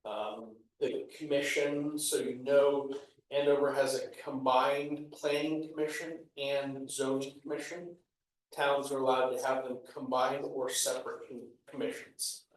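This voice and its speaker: A man on a town commission explains land use procedure at a measured pace, with plenty of ums and you-knows. He sounds far from the microphone in a very echoey meeting room. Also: medium pitch, steady tone, slight background noise. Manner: measured, explanatory, many fillers. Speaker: commission member. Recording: echoey room, distant mic.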